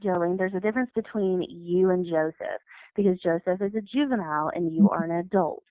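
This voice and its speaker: A woman's voice: on the low side, a little fast, no echo. Tinny phone-line American woman, firm caseworker. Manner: firm, emphatic, corrective. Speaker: child services caseworker. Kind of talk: explanatory phone conversation. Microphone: far end of phone call.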